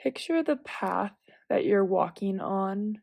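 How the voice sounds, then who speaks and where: Slow, mellow-voiced woman guiding meditation. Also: medium pitch, gravelly, dry recording. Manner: calm, gentle, measured cueing with long pauses. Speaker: guided meditation leader. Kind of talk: guided visualization meditation, instructional.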